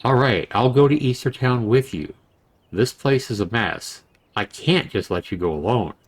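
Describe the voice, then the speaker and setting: A man's voice: medium pitch, lively intonation, moderate pace, lightly acted. A man voicing a worried, grumbling young adventurer from a visual novel in a dry, exasperated manner. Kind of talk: visual novel character reading.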